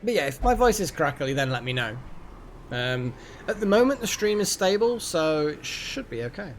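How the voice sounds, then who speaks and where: Higher-voiced man streaming a game. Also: very animated, normal speed, slight background noise. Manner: rambling, thinks aloud. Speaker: game livestream host. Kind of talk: casual live gaming chat.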